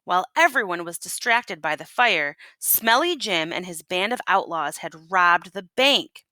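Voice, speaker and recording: A woman teacher reading a storybook aloud to children and pausing to ask them questions. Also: on the low side, lively intonation, sharp tone, dry recording.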